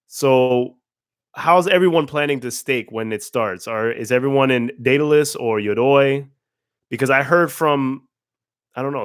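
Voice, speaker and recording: A man with a raspy voice from a cold, hosting a casual livestream, greeting viewers by name and restarting words as he talks. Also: mid-range voice, a bit bright, dry recording, studio quality. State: hoarse from a cold. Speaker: livestream host.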